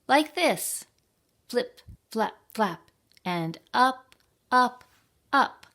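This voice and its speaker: A woman's voice: on the high side, expressive, bright, forceful tone, voice pushed up, full character voice. Eager bird teacher for a children's storybook, female reader acting it out: raised voice, emphatic, stretched-out delivery. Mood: excited coaching. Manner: emphatic, drawn-out chanted commands. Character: eager bird flight-school teacher coaching little fledglings.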